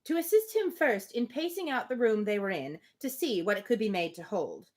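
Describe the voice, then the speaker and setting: A woman's voice: mid-range voice, big pitch swings, brisk. A woman hosting a live novel reading, moving between read-aloud prose and playful, conversational commentary.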